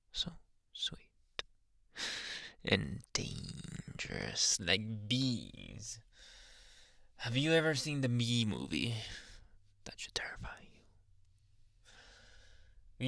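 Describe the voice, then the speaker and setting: A man playing a jealous, possessive, unhinged young anime boyfriend in an ASMR roleplay, slipping between low speech and breathy whispers, menacing yet coaxing, close to the mic. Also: a bit bright, no room sound, heavily acted. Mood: sweetly ominous, then accusing, menacing. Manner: menacing, teasing, drawn-out coaxing endearments. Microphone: close-up ASMR microphone, dry.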